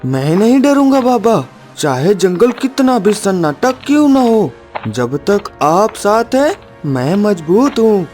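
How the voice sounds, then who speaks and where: A woman's voice: medium pitch, very animated, slow, darkish tone, faint music behind. A woman voicing a devoted, brave young son of a woodcutter, earnest and tender, in a cartoon story.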